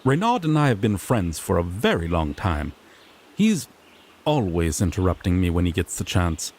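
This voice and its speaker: Man playing a fussy griffon knight, wildly swooping pitch. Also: sing-song, normal speed, darkish tone, full character voice. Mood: dry, put-upon. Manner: formal, fussy, explanatory, mildly exasperated. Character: sassy griffon knight, earnest rookie lawman making first arrest. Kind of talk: scripted fantasy roleplay audio drama.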